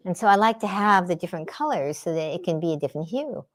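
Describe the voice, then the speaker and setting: A woman's voice: fairly low pitch, lively intonation, fast talker. A woman from the San Francisco Bay Area chatting casually with viewers on a livestream while she paints in her home art studio, meandering between painting and answering comments. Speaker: livestream host and spiritual artist. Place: home art studio under daylight lamps.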